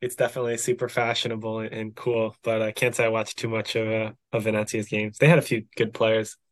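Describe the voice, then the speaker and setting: Flat-toned young man, dry sound. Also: lowish voice, fast talker, dry recording. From New Jersey. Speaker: podcast guest. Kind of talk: casual interview chat.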